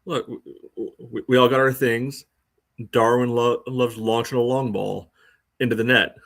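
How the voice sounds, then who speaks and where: A man co-hosting a sports podcast, giving casual analysis in a halting, conversational way. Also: mid-range voice, level tone, vocal fry, a bit bright. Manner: halting, conversational, peppered with "you know". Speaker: podcast co-host.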